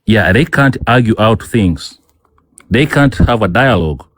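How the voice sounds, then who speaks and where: Ugandan man, low voice, dry close sound, stubborn debater who repeats himself and keeps talking over others. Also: expressive, a little slow, no room sound. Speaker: debate guest. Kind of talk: heated online debate.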